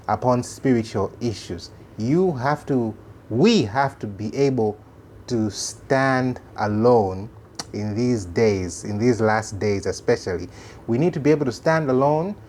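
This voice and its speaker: A male host with a Malawian accent and Chichewa as his first language shares informal religious commentary. His voice sits at an average pitch. He speaks earnestly and haltingly, often circling back over a phrase to stress it. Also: darkish tone, slight background noise.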